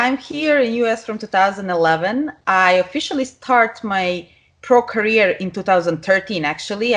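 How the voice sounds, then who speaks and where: A woman's voice: mid-range voice, fairly bright tone. A woman from Serbia with a Serbian accent, a podcast guest speaking English as a second language with some non-native grammar. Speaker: guest, pro figure competitor. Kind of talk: casual interview talk.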